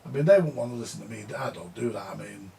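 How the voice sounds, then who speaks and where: A man's voice: fairly low pitch, animated, fast talker, vocal fry, mellow, a little hiss or hum. An older man who repairs vintage audio gear, rambling and grumbling in a casual monologue, repeating himself and swearing now and then.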